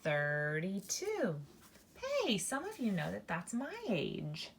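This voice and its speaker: A woman in her thirties teaching a children's math lesson, counting aloud slowly and breaking into mock-alarmed squeals. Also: lowish voice, huge pitch swings, gravelly, slight background noise. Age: 30s. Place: indoors at a table, hands-only video.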